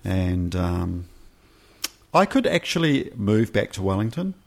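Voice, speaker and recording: A man from New Zealand with a Kiwi accent, a documentary maker talking as a guest in a casual chat, measured and reflective. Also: low voice, very animated, creaky.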